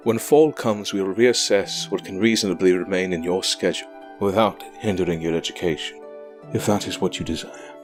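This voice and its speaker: A man acts out an aging king and devoted father for an audio drama. His voice is very low, with formal, measured phrasing and a tired gentleness. Faint music plays behind him. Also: fairly even intonation, close to an everyday voice. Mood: tender, resigned. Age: older.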